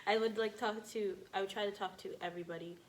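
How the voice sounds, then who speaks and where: A woman's voice: mid-range voice, fairly even intonation, quick pace, slight background noise, rough recording. A young adult woman telling personal stories casually as a podcast guest, in a chatty, informal, giggly way.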